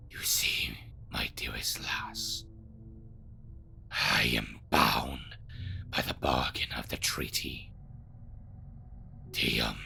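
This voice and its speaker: A man playing an ancient, sinister, scornful creature in a fantasy audio drama, with a whispery growling rasp and slow, theatrical formal speech. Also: rumbling low, bright, forceful tone, full character voice.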